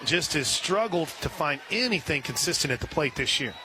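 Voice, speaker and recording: A man calling live softball play-by-play on the radio as the announcer, with an excitable delivery. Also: fairly high pitch, normal speed. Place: at a softball stadium. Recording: noisy live radio broadcast with stadium ambience. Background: clear background noise, ballpark ambience.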